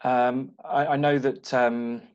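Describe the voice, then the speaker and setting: A man's voice: mid-range voice, flat delivery, takes it slowly, darkish tone, clear, resonant tone. A man hosting a panel discussion, who talks hesitantly with many ums and repeated words. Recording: muffled online call audio.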